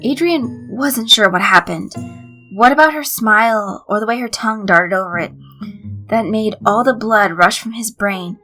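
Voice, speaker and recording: A woman narrates a story in a bright, forward voice, reading from a script. Soft music plays underneath her. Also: medium pitch, moderate pace, rough recording. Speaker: fan fiction reader. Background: background music.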